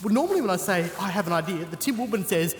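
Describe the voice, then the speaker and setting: A woman's voice: mid-range voice, expressive, fast talker, slight background noise, natural voice. A woman playing an earnest, anxious, loyal scarecrow in an audio drama, with a guileless way of talking. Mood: sorrowful. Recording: echoing hall, music behind.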